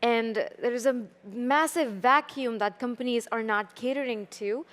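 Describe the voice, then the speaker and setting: A woman with an Indian accent making her case on a conference panel, her voice a bit high. She speaks earnestly and persuasively, checking in with "right?" and leaning on fillers. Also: measured pace, a bit bright. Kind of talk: live panel discussion. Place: conference hall.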